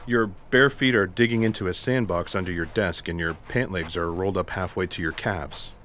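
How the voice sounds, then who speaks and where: Man playing a deep-voiced deadpan special agent. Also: moderate pace. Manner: deadpan, matter-of-fact, wordy. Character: unflappable, dry male special agent. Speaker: voice actor. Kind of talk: comic sci-fi audio theatre acting.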